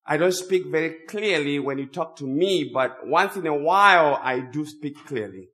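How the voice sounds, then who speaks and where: A man's voice: a little high, expressive, pushed and bright. A man from Zimbabwe, for whom English is a second language, preaching a sermon as a guest speaker while he has a cold. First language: not English. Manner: slow, drawn-out emphatic phrasing.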